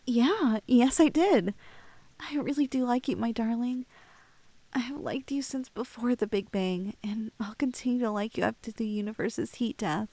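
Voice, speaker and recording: A woman's voice: a little high, darkish tone, no room sound. A woman playing a lovestruck, clingy young woman in an intimate ASMR roleplay, playful and hesitant, with a teasingly unhinged streak. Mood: tender, loving.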